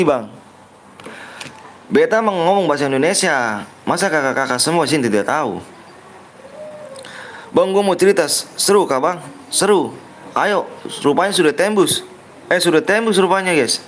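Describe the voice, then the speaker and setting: A man's voice: fairly high pitch, a little hiss or hum. A young man live-streaming a game, reading viewer comments aloud and bantering with them, joking about himself and urging everyone to like and subscribe. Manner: playful joking persona. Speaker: game livestreamer. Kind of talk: casual live-stream chat.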